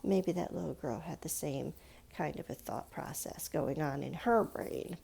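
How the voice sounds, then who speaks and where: A woman's voice: sits low, a little slow, creaky, dark tone, slight background noise. A woman giving children an informal step-by-step drawing lesson, explaining things simply and patiently with questions to her young listeners. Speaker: children's art teacher.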